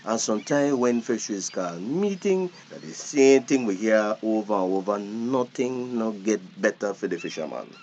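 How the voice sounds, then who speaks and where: A man's voice: lowish voice, expressive, normal speed, few pauses, darkish tone, slight background noise. Man playing seasoned old Belizean fisherman, muffled sound. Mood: reflective. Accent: Belizean Creole. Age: older. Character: seasoned old fisherman, plain-spoken and practical. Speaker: actor in a serial drama. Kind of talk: naturalistic dialect radio drama acting.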